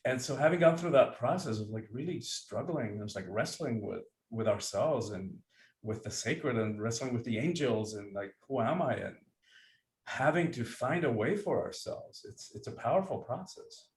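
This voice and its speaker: A man's voice: medium pitch, lively intonation, moderate pace. Reflective man in an echoey room. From Cuba. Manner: reflective, earnest, searching for words. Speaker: guest author and breathwork teacher. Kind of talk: conversational interview.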